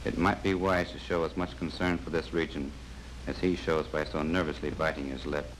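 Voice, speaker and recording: A coolly menacing interrogator in a 1940s training film. His voice is extremely deep, and he talks with dry, formal understatement. Faint rumble and hiss come with the old recording. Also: fairly even intonation, moderate pace, rough recording. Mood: coolly observant. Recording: rumbly, hissy vintage film soundtrack.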